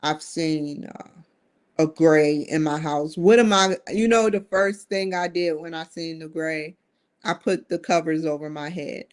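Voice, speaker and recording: A woman's voice: sits low, a little slow. A woman in her forties hosting a live-streamed solo talk show, rambling earnestly with frequent restarts. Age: 40s. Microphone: streaming setup.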